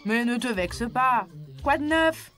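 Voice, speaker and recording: A woman voicing a friendly, curious young creature in a French cartoon dub, with an expressive delivery. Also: on the high side, takes it slowly, faint music behind. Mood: playful, curious.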